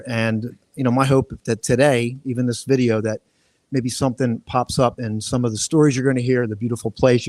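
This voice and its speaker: A man's voice: mid-range voice, a little mellow, no echo. Man, podcast host, rambling and casual, dry close sound. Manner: loose, rambling delivery with restarts. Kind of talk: live-streamed podcast interview. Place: outdoor resort near waterfalls. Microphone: live-stream setup.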